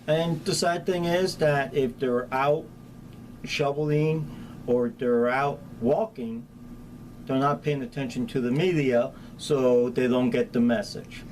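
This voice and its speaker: A man's voice: medium pitch, slow, low-quality recording. A middle-aged man with a Spanish accent giving plain-spoken, practical answers in an informal interview as an emergency official. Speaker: county hazardous materials chief being interviewed. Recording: clear steady background ambient noise.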